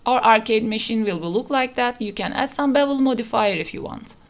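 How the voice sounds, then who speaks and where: Higher-pitched woman narrating software steps. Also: animated, brisk, creaky. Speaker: 3D modeling tutor. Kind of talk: step-by-step software tutorial narration. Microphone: voice-over over screen recording.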